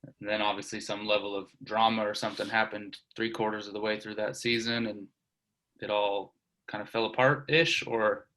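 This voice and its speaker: A man's voice: lowish voice, flat delivery, normal speed, pushed and bright, a little hiss or hum, low-quality recording. A man hosting a casual interview, asking loose, rambling questions. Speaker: interviewer, host.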